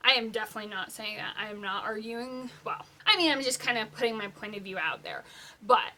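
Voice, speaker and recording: A young woman hosting a pop culture vlog, chatting casually in an animated, halting, informal way with emphatic asides. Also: a little high. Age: young adult. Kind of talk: casual opinionated vlog chat.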